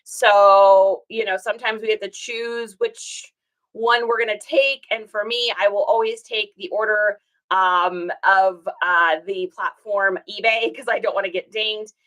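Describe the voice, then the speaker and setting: A woman with a slightly hoarse, rough voice, hosting a casual livestream and chatting upbeat with viewers, greeting them by name. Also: mid-range voice, steady tone, unhurried, fairly bright tone, poor audio. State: hoarse from singing. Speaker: reseller livestream host.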